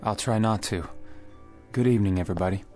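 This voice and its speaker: Courteous, skeptical man acting a club gentleman. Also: on the low side, fast talker, soft background music, lightly acted. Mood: weary, polite. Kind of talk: naturalistic radio play acting.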